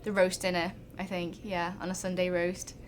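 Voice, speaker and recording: A young woman from England with an English accent, answering interview questions in a relaxed, rambling way. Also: fairly low pitch, moderate pace, slight background noise. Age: young adult.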